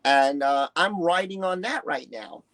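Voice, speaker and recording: Blunt, higher-pitched New man in his sixties. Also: a little slow, fairly bright tone. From New York City, United States. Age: early 60s. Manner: blunt, profane, emphatic, acts out quoted dialogue. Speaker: interview guest, retired musician and bodybuilder. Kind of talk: candid personal storytelling in an interview.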